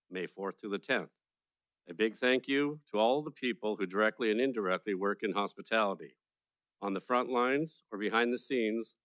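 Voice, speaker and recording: A man's voice: on the low side, fairly bright tone, no room sound, low-quality recording. Formal man reading council remarks. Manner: formal and courteous, read from notes. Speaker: board chair. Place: council chamber.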